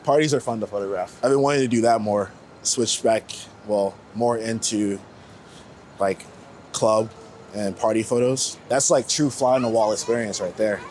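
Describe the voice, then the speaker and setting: A man's voice: lowish voice, fairly even intonation, normal speed, darkish tone, rough recording. A man in his late twenties talking casually and reflectively in a rambling, slangy way, like an interviewee out on a walk. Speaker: street photographer being interviewed. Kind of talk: casual walking chat. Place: outdoors on a New York City street. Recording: outdoor city street noise. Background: faint traffic and urban ambience.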